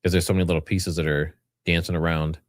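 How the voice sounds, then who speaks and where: A man's voice: sits low, little pitch movement, fast, no room sound. A man hosting a livestream, giving informal, rambling commentary and partly reading from notes. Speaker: livestream host.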